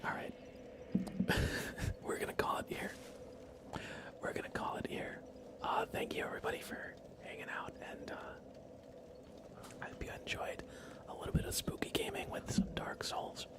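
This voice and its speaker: A man livestreaming a video game in a soothing, hushed ASMR style, commenting casually on his play. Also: normal speed, long pauses. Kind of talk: whispered ASMR gameplay commentary.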